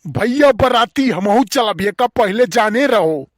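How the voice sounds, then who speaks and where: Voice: mid-range voice, animated, slow, no room sound. Someone doing a gullible nosy village bachelor, awadhi cartoon, takes his time talking, very dry recording. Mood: curious. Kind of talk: Awadhi comedy cartoon dialogue.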